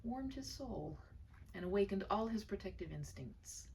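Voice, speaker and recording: A woman narrates a story with great feeling, her pitch swinging widely and a creaky grain in the voice. She is tender and hushed, leaning on dramatic pauses. The mic sits a little way off, with faint noise behind her. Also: animated, far from the mic, poor audio. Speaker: storyteller reading her own short story.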